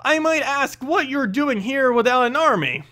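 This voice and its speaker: Sly female assassin for hire, played by a male reader: high, confident, dryly teasing, fantasy storybook. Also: expressive, moderate pace, pushed and bright, heavily acted. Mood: questioning. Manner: teasing, dry.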